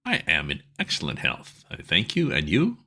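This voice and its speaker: A man voicing a pompous elderly English lord who speaks in stiff, formal, measured sentences. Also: low-pitched, wildly swooping pitch, pushed and bright, slightly rough, heavily acted. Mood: stately. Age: older.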